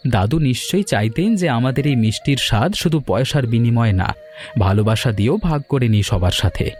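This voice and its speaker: An actor playing a devoted, enthusiastic grown son, talking in a heartfelt way in a village cartoon. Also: male voice, mid-range voice, animated, fast talker, a little mellow, close to an everyday voice. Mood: nostalgic, resolved. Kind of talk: dramatized cartoon dialogue. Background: background music.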